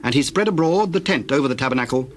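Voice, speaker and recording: A man narrating scripture in a steady, measured reading voice. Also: mid-range voice, a little hiss or hum.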